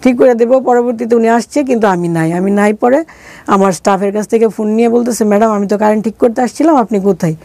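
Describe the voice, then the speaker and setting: A middle-aged Bangladeshi woman recounts her own life as an interview guest. Her voice is dark and mellow, at an ordinary pitch, recorded very dry. She talks colloquially and slips into quoted dialogue as she goes. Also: fast talker, no room sound. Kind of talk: conversational personal storytelling.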